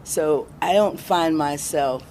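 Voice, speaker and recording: A middle-aged American woman answering interview questions as a guest, reflective and deliberate, pausing for emphasis. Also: low-pitched, a little mellow, a little hiss or hum, low-quality recording. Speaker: interview guest, independent filmmaker.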